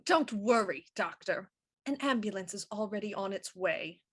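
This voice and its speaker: An actress playing a composed, shrewd Victorian police inspector in a radio mystery, speaking in a cool, deliberate, probing way. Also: female voice, mid-range voice, lively intonation, fairly bright tone, slightly rough. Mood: firm.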